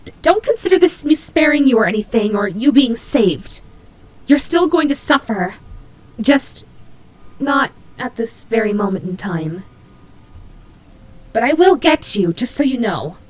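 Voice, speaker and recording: A woman's voice: on the high side, a little hiss or hum, poor audio. A woman voicing a cute female demon bunny, proud and grudging, who stammers huffily in a scripted audio roleplay. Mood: reluctant huffiness. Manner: indignant, stammering, huffy.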